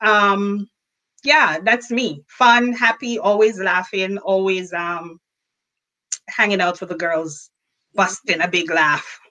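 A woman's voice: medium pitch, fairly even intonation, takes it slowly, sharp tone. A woman from rural Jamaica with a Jamaican accent, chatting casually and cheerfully as an interview guest. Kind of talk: casual chat.

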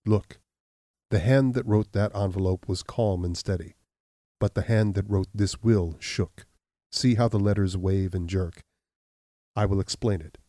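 A man voicing a stern, implacable accuser who speaks in a deep, level, measured way. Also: no room sound, studio quality, lightly acted. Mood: accusing. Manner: calm, measured, unyielding. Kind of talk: audiobook reading.

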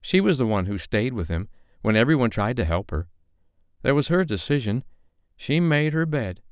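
Man voicing a catty, haughty woman, pitched up. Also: very animated, moderate pace, clear, resonant tone, no room sound, studio quality. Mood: irritated. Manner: irritated, scornful. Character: haughty, catty statuesque woman, sharp and dismissive.

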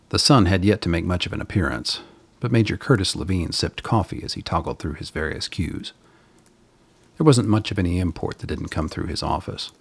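A man narrating a scripted radio drama in a measured, literary manner, with a gravelly, husky voice. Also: low voice, no room sound.

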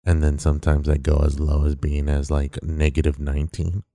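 Very deep-voiced man, relaxed and rambling. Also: normal speed, no echo, clean studio sound. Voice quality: very deep baritone voice. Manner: relaxed, rambling, many fillers. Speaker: podcast co-host. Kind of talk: casual podcast chat.

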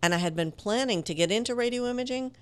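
A woman with a low, smooth, resonant voice that carries quiet authority, telling stories as a guest in an interview. She lively acts out the quotes in her anecdotes, and her voice sounds dry and close to the mic. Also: quick pace, no echo. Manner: animated, tells stories with quoted dialogue. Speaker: voice actor guest. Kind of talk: conversational interview storytelling.

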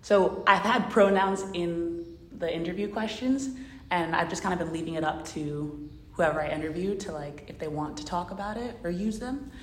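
A woman's voice: lowish voice, moderate pace, reverberant, slight background noise. A woman hosting an interview podcast, mixing a scripted intro with casual chat full of "um" and "like". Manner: warm laughing interjections, lots of "um" and "like".

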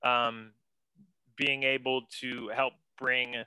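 A man's voice: mid-range voice, level tone, takes it slowly, sharp tone, clear, resonant tone, no echo. A young man from western Pennsylvania, a guest chatting casually and enthusiastically about his work, full of slang. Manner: laid-back, slangy, enthusiastic, says man a lot. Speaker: guest, senior art director. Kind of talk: casual interview chat.

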